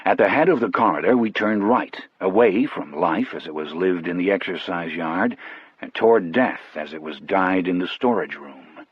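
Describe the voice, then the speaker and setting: Low, husky male audiobook narrator. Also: lively intonation, moderate pace, no room sound. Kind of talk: scripted first-person storytelling. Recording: dry but muffled, dull audio.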